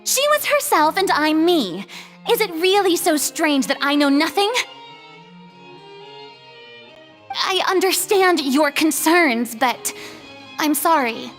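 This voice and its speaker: A theatrical high-voiced goddess. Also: female voice, expressive, a little slow. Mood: defensive. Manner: theatrical, defensive, dramatic. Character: flamboyant, evasive young female goddess. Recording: game audio with reverb and soft music.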